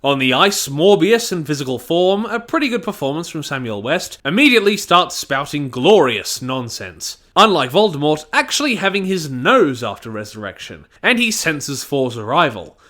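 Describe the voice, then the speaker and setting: A man's voice: on the high side, big pitch swings, moderate pace, hardly any pauses, sharp tone. A man giving a scripted review and plot commentary. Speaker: audio drama reviewer.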